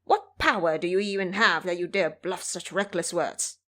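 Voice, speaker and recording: A woman voicing a scornful male monster king in an audio drama, speaking lower than her own voice. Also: normal speed, fairly bright tone, lightly acted. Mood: sneering. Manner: sneering, scornful.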